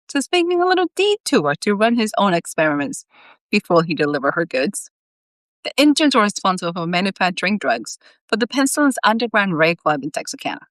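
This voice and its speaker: A woman author reading her own fiction aloud in an animated storytelling style, dropping in chatty, informal, self-deprecating asides. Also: fairly low pitch, no echo, poor audio.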